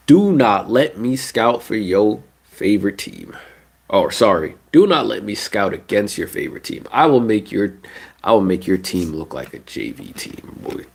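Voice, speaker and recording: A young man with a stuffy, nasal voice from a cold, ranting casually about basketball on a live stream. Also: medium pitch. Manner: animated ranting, casual slang. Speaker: sports streamer.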